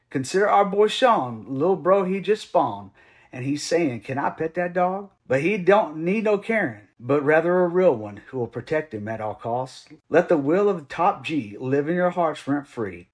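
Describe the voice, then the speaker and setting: A man playing a dramatic reader of parody scripture, declaiming slang verse in an emphatic, mock-earnest rhythm. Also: on the high side.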